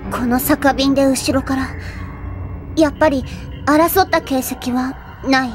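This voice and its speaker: Anime schoolgirl detective, high voice, bossy n sure of herself, music behind. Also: fairly even intonation, unhurried. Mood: matter-of-fact. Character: self-assured, bossy teenage schoolgirl detective. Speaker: voice actress. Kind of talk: anime audio drama acting.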